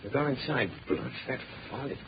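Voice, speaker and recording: An actor playing a shrewd, resolute gentleman sleuth in a 1930s radio drama, crisp, deliberate and authoritative. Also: medium pitch, close to an everyday voice. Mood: watchful, tense. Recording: muffled, hissy 1930s radio recording.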